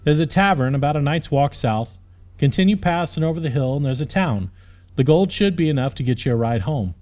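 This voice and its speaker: Guy as a grumpy retired knight in a fantasy audiobook, curt n impatient, pitch jumps about. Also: expressive, fairly quick, a little mellow, lightly acted. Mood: brisk.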